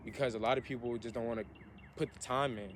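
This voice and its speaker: A man's voice: medium pitch, fairly even intonation, fast talker. An earnest young man in his twenties talks about self-improvement, looping back over his words as he goes. His voice sits in an ordinary middle range. Faint outdoor ambience and passing traffic hum behind him. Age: 20s. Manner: earnest, repetitive, self-motivating. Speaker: vlogger. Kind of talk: motivational vlog monologue.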